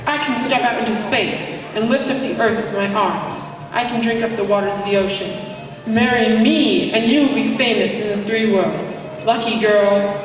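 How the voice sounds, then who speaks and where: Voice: medium pitch, normal speed, natural voice. A performer playing a boastful, menacing demon king in a children's stage play, declaiming pompously. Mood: boastful, gloating. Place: large indoor school gymnasium. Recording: echoey gym hall from far off.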